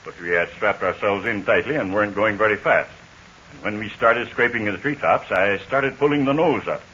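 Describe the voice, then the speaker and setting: An actor playing a steady army major recounting a crash landing, in 1940s radio acting style. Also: male voice, lowish voice, normal speed. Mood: earnest. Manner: hearty, earnest storyteller. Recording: muffled old radio recording with faint hiss.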